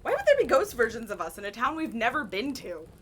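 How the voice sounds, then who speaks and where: An actress playing a wry, excitable young woman adventurer in an audio drama. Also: a little high, fast talker, slight background noise. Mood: curious.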